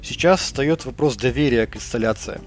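A man's voice: mid-range voice, moderate pace, faint music behind. A man taking part in a casual panel discussion, cutting in with questions and objections.

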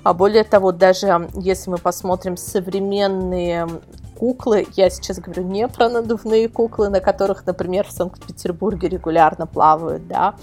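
A woman's voice: lowish voice, fairly even intonation, moderate pace, darkish tone, soft background music. A woman speaking as a podcast guest, explaining things casually and wordily, with many qualifiers. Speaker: invited guest, editor of an adult toy website.

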